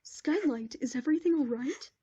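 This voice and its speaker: Woman as a gentle regal pony princess, soft dark tone. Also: high voice, normal speed, muffled recording, lightly acted. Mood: motherly, consoling. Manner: kind, composed, formal.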